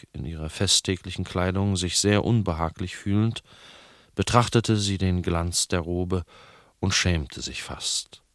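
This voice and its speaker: A man's voice: deep voice, no room sound, clean studio sound. A man reading literary prose aloud as a narrator, in scripted storytelling sentences. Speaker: narrator reading a novel excerpt.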